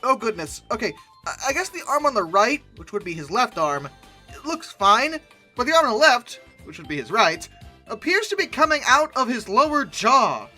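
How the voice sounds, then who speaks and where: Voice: mid-range voice, sing-song, normal speed, bright, forceful tone, faint music behind. A video host giving comic commentary with a sarcastic, mock-incredulous and often exasperated delivery. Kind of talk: comic commentary on dinosaur art.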